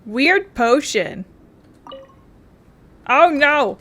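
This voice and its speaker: A woman's voice: sits high, expressive, extremely slow, sharp tone. A woman streaming a video game with casual, reactive, informal commentary and free swearing.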